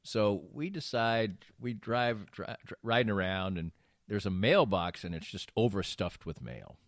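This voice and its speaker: A middle-aged or older man hosting a podcast, trading playful banter and telling stories in a casual, halting way. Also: on the low side, expressive, no room sound, clean studio sound. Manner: joking, playful, halting delivery. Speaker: podcast host.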